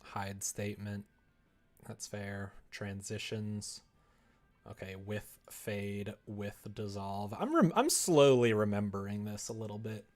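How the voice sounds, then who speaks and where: A man's voice: on the low side, takes it slowly, faint music behind. A man livestreaming his development work, thinking aloud casually and muttering to himself in short fragments. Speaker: livestreamer developing a visual novel.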